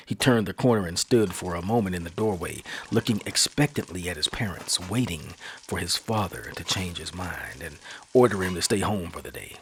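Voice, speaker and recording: A man hosting a podcast, telling a spooky story in a slow, hushed, dramatic studio reading. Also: deep voice, a little hiss or hum.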